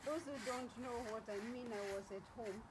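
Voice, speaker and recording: A woman's voice: a little high, moderate pace, noisy background. A woman from Kenya with a Kenyan accent chatting casually in a rambling vlog, repeating phrases for emphasis. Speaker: vlogger.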